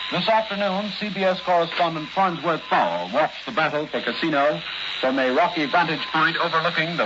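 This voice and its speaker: Higher-voiced 1940s correspondent, crackly radio. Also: male voice. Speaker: war correspondent. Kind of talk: 1940s radio news dispatch. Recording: dull, crackly, noisy shortwave-era radio.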